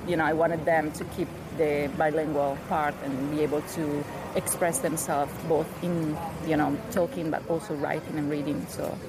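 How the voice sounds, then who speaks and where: A woman's voice: low-pitched, level tone, normal speed, hardly any pauses. A middle-aged Italian woman, a native Italian speaker talking in English, answering interview questions with many hesitations and fillers. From Italy. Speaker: guest. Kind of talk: casual interview chat. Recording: outdoor recording with street noise.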